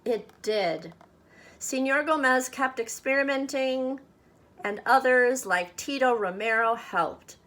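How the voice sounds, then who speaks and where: A woman reading a children's picture book aloud expressively, with measured, dramatic phrasing meant for young listeners. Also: on the high side, bright, forceful tone. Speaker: storybook reader.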